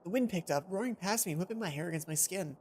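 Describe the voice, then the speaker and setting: A woman's voice: lowish voice, animated, fast talker, darkish tone, slight background noise. A woman doing a casual let's play, commenting playfully and reading visual novel text aloud. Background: game music behind the voice.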